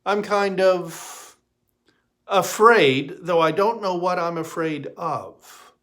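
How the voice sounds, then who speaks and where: A man's voice: high voice, takes it slowly, fairly bright tone, voice pushed up. A man voicing an exasperated, anxious small-town mayor in a storybook reading, with an indignant, hesitant manner.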